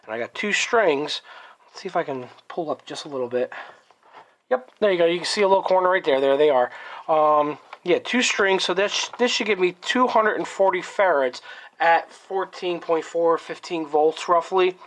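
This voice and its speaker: A man's voice: a little high, normal speed, fairly bright tone. Man with a cold explaining electronics. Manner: thinking aloud, frequent ums and self-corrections. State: recovering from a cold, voice a little off. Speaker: electronics hobbyist presenter. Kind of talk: casual hands-on tech walkthrough.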